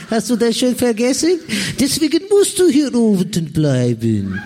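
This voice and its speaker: A man's voice: very high voice, very animated, a little slow, warm tone, big-room sound, a little hiss or hum, full character voice. A man playing a sly, theatrical mad-scientist villain boss in a comic radio play. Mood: condescending, evasive.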